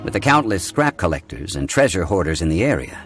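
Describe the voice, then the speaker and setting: An actor playing a calm, learned, courteous gentleman in a video game, explaining things in a measured, formal way. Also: low-pitched, music in the background. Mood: composed, scholarly.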